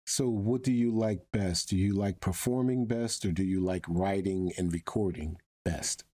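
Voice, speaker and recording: A man hosting an upbeat conversational interview, effusive in manner, with a husky voice. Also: low-pitched, a little slow, warm tone. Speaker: show host interviewing a guest.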